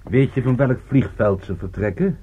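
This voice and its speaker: An actor playing a wry private detective in a radio play, speaking and narrating in a dry, understated way. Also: male voice, mid-range voice, normal speed, warm tone, slightly rough, lightly acted. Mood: soothing, coaxing. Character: wry male private detective, calm under mortal danger. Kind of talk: radio play acting with first-person narration. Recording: muffled, phone-like radio drama recording.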